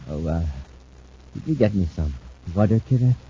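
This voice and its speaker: An actor playing a sly space castaway feigning weakness, speaking haltingly in an old-time radio serial. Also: male voice, lowish voice, lively intonation, dark tone. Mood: weak, groggy. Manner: halting, feeble, hesitant. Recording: muffled old radio recording, faint hiss.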